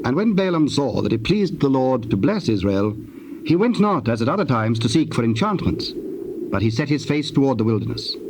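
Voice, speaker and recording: A man narrating scripture for a dramatized audio Bible in a measured, formal way. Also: mid-range voice, sing-song, lightly acted. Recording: faintly hissy old cassette tape.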